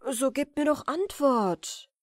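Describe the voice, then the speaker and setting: A woman voicing a baffled, excitable male wanderer in a bedtime fairy tale, in an exclaiming, bewildered way. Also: sits high, slow pace, no echo, clean studio sound, pitched above the performer's own voice. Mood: indignant, baffled.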